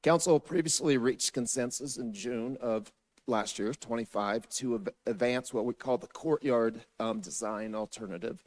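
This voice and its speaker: A man whose voice is hoarse and raspy walks a city council through a staff briefing. His delivery is steady and businesslike, with mid-range pitch. He speaks from council chambers. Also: normal speed.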